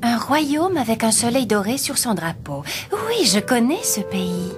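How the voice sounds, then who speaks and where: Sensible young woman for animation: slightly high, expressive pitch, caring manner, music underneath. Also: a little slow. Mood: pondering. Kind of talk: French-dubbed cartoon voice acting.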